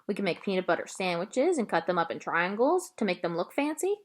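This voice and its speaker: A woman voicing an earnest, wistful and eager young girl in a children's audiobook, expressive and pleading. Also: medium pitch, a little fast.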